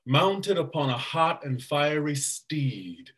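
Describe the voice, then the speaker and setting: A man voices a grave, loyal old duke. His voice is bright and a little high, and he speaks slowly. It is read over a webcam. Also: big pitch swings, exaggerated voice. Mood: solemn. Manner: measured storytelling, bursting into alarm. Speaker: actor in a staged reading. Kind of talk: Shakespeare verse reading. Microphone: webcam on a video call.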